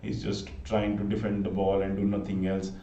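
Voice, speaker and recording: An Indian-accented man with a low, mellow voice calls a cricket match on his own, chatty and prone to sudden excited outbursts. He sits back from the mic in an echoey room, and the sound is dull and lo-fi. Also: moderate pace, recorded from a distance. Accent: Indian English. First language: Hindi. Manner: chatty, pleading for subscriptions, sudden excited exclamations. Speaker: live cricket commentator. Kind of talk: solo live cricket commentary. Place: home room, bundled up against the cold.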